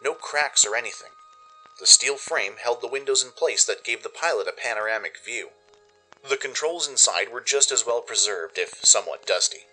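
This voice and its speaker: Bright-voiced man narrating a radio drama. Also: mid-range voice, expressive, normal speed, soft background music, poor audio. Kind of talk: dramatic scripted first-person story narration.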